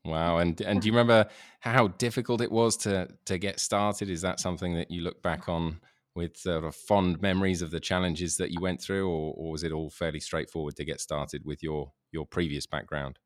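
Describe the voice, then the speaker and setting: Very deep-voiced enthusiastic male host. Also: steady tone, moderate pace, no echo, clean studio sound. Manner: enthusiastic, repeats words when starting questions. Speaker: podcast host. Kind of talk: interview hosting.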